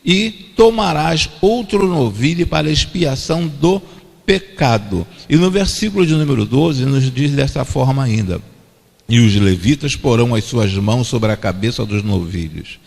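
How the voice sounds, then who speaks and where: A man's voice: mid-range voice, lively intonation, moderate pace, creaky, a little mellow. A man with a Brazilian accent preaching a verse-by-verse sermon, repeating each point twice for emphasis. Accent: Brazilian Portuguese. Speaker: pastor. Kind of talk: expository sermon. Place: church sanctuary. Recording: long church-hall reverb.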